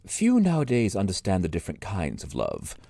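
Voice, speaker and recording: An actor playing a calm, probing interrogator who speaks in a slow, measured way. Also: deep voice, creaky, no room sound, heavily acted.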